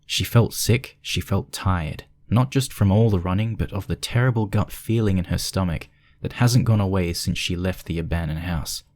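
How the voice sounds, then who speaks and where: A man's voice: low-pitched, moderate pace, a little mellow. A man reading a scripted horror story as its narrator, in a dramatic storytelling style.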